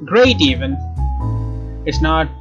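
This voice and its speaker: A man with a fairly high voice reads visual novel narration aloud as a casual let's play host. The recording is a little dull, with prominent guitar music behind him. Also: sing-song, takes it slowly. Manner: casual, stumbles and rereads phrases. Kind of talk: reading game narration aloud with casual asides. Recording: slightly dull mic, game music behind. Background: game music with guitar.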